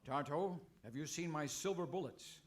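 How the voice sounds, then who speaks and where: A man's voice: a little high, moderate pace, a little hiss or hum, lightly acted. A man playing a flustered, sheepish masked cowboy hero in an old-time radio comedy, earnest and exasperated. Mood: fretful.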